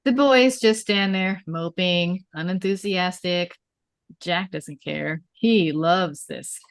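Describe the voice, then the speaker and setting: Casting: female stage-directions narrator, lowish voice, clipped storytelling phrases, close dry recording. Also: slow, no room sound. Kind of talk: scripted narration of screenplay directions.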